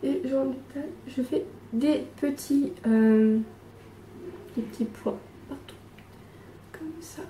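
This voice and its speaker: A young woman with a hoarse, cold-roughened voice, hesitantly talking through a makeup tutorial. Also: sits high, dark tone, distant mic, a little hiss or hum. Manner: hesitant, many pauses and restarts. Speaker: beauty vlogger. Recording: echoey room, away from the mic.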